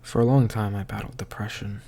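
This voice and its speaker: A slightly tipsy man recording a confessional audio diary, speaking in a halting, hushed, introspective way that often trails into a whisper. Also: fairly low pitch, fairly even intonation. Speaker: audio diarist.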